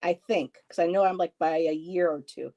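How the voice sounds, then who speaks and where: A woman's voice: on the low side, moderate pace, warm tone, muffled recording. A woman in her fifties hosting a live stream, chatting casually with a friend and reading out viewer comments, rambling and laughing often. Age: 50s. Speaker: host.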